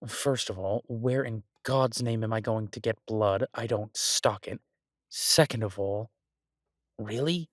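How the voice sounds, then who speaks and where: A man's voice: lowish voice, unhurried, no echo, clean studio sound, close to an everyday voice. A man playing an exasperated yet affectionate chef boyfriend in a roleplay audio, ranting incredulously and conversationally to his partner. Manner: ranting, incredulous, emphatic with repeated words. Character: exasperated but affectionate human boyfriend, restaurant chef for supernatural guests.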